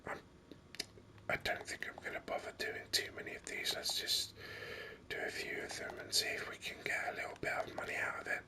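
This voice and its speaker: A man whispering ASMR game commentary in a breathy, unhurried way, thinking aloud close to the microphone. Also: poor audio. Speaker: gaming video host.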